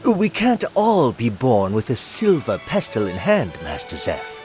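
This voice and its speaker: An actor playing a kindhearted, easygoing young village apothecary in a video game, talking in a folksy, casual way. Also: male voice, mid-range voice, huge pitch swings, measured pace. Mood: easygoing, playful. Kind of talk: video game cutscene acting. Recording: background music under the voice.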